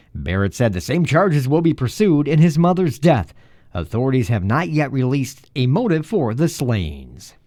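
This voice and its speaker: A man's voice: medium pitch, no room sound. An American man reading scripted radio news as a reporter and anchor.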